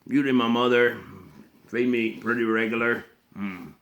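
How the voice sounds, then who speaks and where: A man playing a slow, simple, haunted backwoods man with a rural Southern US accent, delivering a halting, plainspoken dramatic monologue in a mumbled voice with throaty grunts and hums. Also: mid-range voice, steady tone, a bit bright, close to an everyday voice. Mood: flat, halting.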